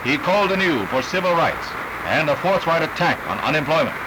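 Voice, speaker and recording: A man's voice: a little high, very animated, brisk, background noise. An American man narrating a scripted 1960s newsreel in a formal, declamatory announcer style on a vintage soundtrack. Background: orchestral newsreel music.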